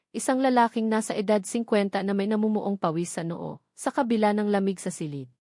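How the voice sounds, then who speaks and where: A woman's voice: mid-range voice, darkish tone, dry recording, studio quality. A woman with a Filipino accent reading a scripted, dramatic romance story as its narrator.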